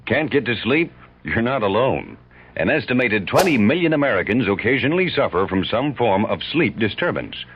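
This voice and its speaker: A man announcing a scripted radio advertisement in a soothing, measured sales manner. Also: medium pitch, very animated, slight background noise. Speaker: commercial announcer. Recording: dull old radio recording.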